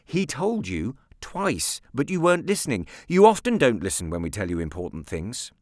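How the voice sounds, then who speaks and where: A man's voice: medium pitch, huge pitch swings, moderate pace, a little mellow, dry recording, studio quality. A man voicing a mischievous, stubborn little boy in a storybook reading, insistent and smugly matter-of-fact. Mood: grimly pleased. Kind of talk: storybook character voice in audiobook reading.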